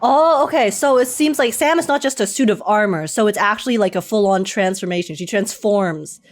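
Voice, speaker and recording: A woman's voice: medium pitch, fairly quick. A woman streamer giving informal, giggly, casual reaction commentary on a video game. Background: game music.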